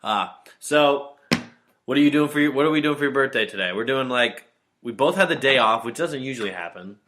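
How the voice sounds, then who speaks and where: A man's voice: medium pitch, animated, a little fast, background noise. A man in his twenties co-hosting a podcast in casual chat, teasing his friend, swearing casually and laughing as he talks. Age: 20s. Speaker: podcast co-host.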